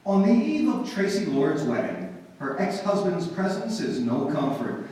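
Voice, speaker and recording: A man's voice: on the high side, very animated, unhurried, a little mellow. A man playing a dramatic narrator who sets scenes in a 1940s-style radio drama. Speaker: narrator, announcer. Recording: echoey hall from far off, faint noise.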